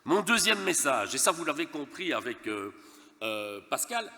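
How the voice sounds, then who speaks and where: A man's voice: on the high side, very animated, normal speed, gravelly, pushed and bright, in a large hall. A man from Verviers with a Belgian French accent, nasal from a cold, giving a formal conference speech with light humour and frequent stammered restarts. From Verviers, eastern Wallonia, Belgium. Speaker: speaker from a gas and electricity network company.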